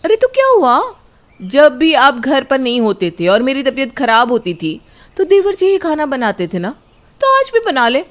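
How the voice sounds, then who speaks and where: Brazen cartoon bhabhi female voice actor, high, sharp-tongued, always answering back. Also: wildly swooping pitch, moderate pace. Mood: brash. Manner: brash, retorting. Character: sharp-tongued married sister-in-law, bold and brazen. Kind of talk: cartoon dialogue.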